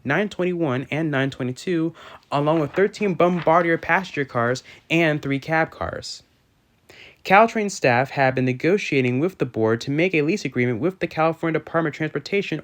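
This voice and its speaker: A man with a voice a little on the high side narrates an informational video. He reads formally and carefully from a script, now and then tripping on a word and picking it up again. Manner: formal, careful reading with occasional stumbles. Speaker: railfan video host.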